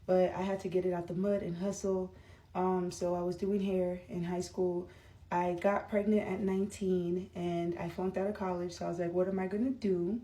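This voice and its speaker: Woman in her thirties, casual rambling vlog chat, warm mellow voice, a bit away from the mic. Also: medium pitch, far from the mic. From United States. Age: 30s. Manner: chatty and rambling, lots of fillers. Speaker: vlogger.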